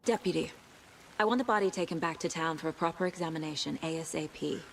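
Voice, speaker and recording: A woman's voice: low voice, quick pace, slight background noise. An actress playing a composed, professional female FBI agent in a game cutscene. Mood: steady. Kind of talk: video game cutscene acting.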